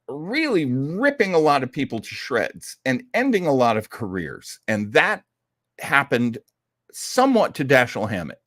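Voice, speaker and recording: A man's voice: medium pitch, fairly bright tone, dry recording, clean studio sound. A man hosting an old-time radio program, giving casual commentary in a chatty, folksy, opinionated way.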